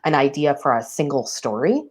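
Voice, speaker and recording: A woman with a strikingly deep, low-pitched voice chats candidly as a guest in a casual interview. She laughs easily and fills pauses with ums while talking shop about the radio business. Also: animated, a little mellow, breathy edge. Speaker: public radio station director.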